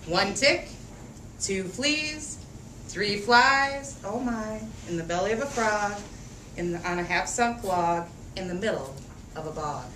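A woman teacher gives an expressive, rhythmic storybook read-aloud for young children at an unhurried pace. She draws out phrases and engages the children directly. The sound is echoey and picked up at a distance from the mic. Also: medium pitch. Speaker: teacher reading a picture book to young children. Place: echoey room with a group of children. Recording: echoey room, distant mic, faint noise.

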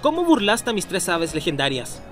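A man's voice: high voice, sing-song, normal speed. A man voicing a jaded, sarcastic retired champion in a Latin American Spanish cartoon parody fandub. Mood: weary, disbelieving. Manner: sarcastic, exasperated. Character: jaded retired male Pokémon champion, sarcastic, easily exasperated. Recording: background music under voice.

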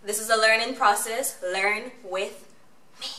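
A young woman hosting a casual chat on a couch in a bright lobby, playfully repeating new words slowly. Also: a little high, steady tone. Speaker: host. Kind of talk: casual language-learning chat. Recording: roomy, distant mic with faint hum.

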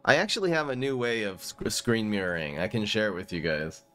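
A man's voice: fairly low pitch, very animated, a little fast, fairly bright tone, smooth, faint music behind. A man in his thirties casually commentating his card game on a live stream, joking and rambling as he plays. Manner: joking, rambling, often laughs at himself. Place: small room indoors.

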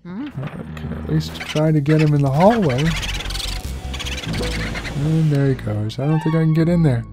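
A man's voice: a little high, unhurried, music in the background. A young man with a relaxing voice commenting casually on a game, swearing freely and poking fun at himself. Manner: relaxed, profane, self-deprecating rambling. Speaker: let's play host.

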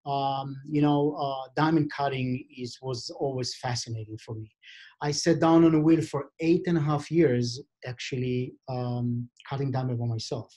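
A middle-aged man with an Israeli accent speaks English on a webcam call from an office, at a medium pitch for a man. He talks in a halting way, stopping often with fillers and restarting his sentences as he looks for the words. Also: fairly even intonation, mellow. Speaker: diamond expert and vendor. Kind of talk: video call interview. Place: office indoors. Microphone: video call webcam.

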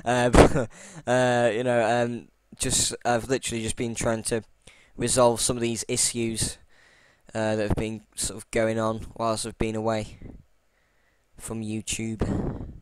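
A man's voice: on the low side, completely flat delivery, slow, a little hiss or hum, low-quality recording. A man casually talking through a computer tutorial while recording his screen, rambling with many fillers and restarts, and apologizing for his boring voice. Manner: self-deprecating. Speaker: tutorial channel host.

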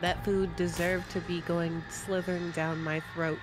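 A congested woman with a nasal voice, chatting with friends during a game, drawling slowly. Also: fairly low pitch, little pitch movement, over music. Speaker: guest gamer on call. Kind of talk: casual chat.

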